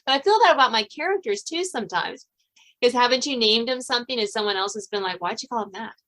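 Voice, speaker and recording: A woman's voice: on the high side, expressive, fast, fairly bright tone. A middle-aged woman hosting a podcast, talking casually and conversationally with guests. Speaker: podcast host.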